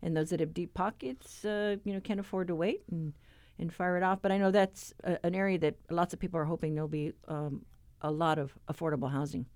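A woman's voice: fairly low pitch, fairly even intonation, a little fast, a little mellow, no room sound. A woman hosting a public radio program in a conversational interviewing style. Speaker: radio show host.

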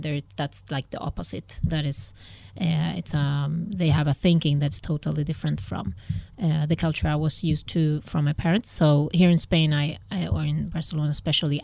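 A woman's voice: sits low, mellow. A woman who grew up in Sweden, a guest entrepreneur chatting casually in an interview, thinking aloud and laughing often.

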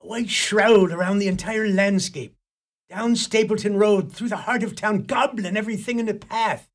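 A man's voice: high-pitched, normal speed, close to an everyday voice. A man playing a stern, pompous Irish village priest in a radio play, preaching in florid phrases with an Irish accent. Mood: brooding.

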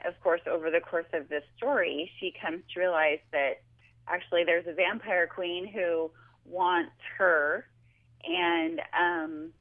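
A woman talking informally and chattily as an author guest in a casual interview, heard over a telephone. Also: on the low side. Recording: tinny, narrow telephone line.

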